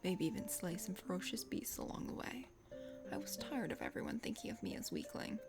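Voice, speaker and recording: A woman voicing an earnest, tender young prince from a dating game, soft, breathy and sincere. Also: lowish voice, wildly swooping pitch, brisk, over music, pitched below the performer's own voice. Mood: earnest, confiding.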